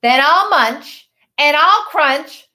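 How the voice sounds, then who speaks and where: A woman voicing a big bad male shark from a children's storybook, a hungry bully chanting loud, drawn-out threats. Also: high voice, lively intonation, pitched above the performer's own voice, heavily acted. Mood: threatening. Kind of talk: children's storybook read-aloud.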